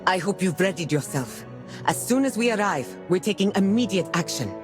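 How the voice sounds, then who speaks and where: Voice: medium pitch, expressive, a little fast, music in the background, lightly acted. An actor playing a stern, proud envoy warrior in a video game, speaking formally and curtly. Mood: brisk, curt. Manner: formal, curt, commanding. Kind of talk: dramatic video game cutscene acting.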